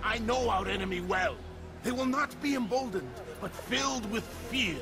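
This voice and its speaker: A man's voice: high voice, moderate pace, over music. An actor playing a proud, self-righteous old nobleman, stiff and formal, in a video game cutscene. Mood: smug.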